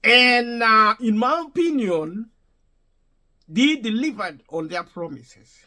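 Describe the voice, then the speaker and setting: Guy vlogging his opinions straight to camera, high voice that swings around a lot, keeps rephrasing himself. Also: animated, slow, sharp tone. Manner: repetitive, restates and rephrases points; emphatic, addresses viewers directly. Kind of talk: unscripted opinion monologue.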